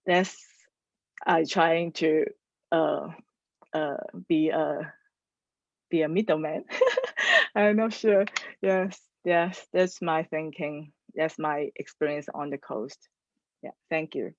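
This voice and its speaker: A woman speaking as a panelist on a video call, in halting English with many restarts. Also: sits low, fairly even intonation, no room sound. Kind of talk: online panel discussion. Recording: muffled video call audio.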